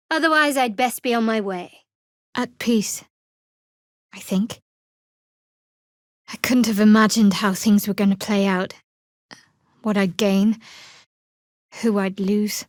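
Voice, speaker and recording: A woman playing a guarded, sardonic young cleric of a dark goddess in a fantasy video game, with a dry, wry, clipped delivery. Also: medium pitch, no room sound, lightly acted. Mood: reflective, earnest.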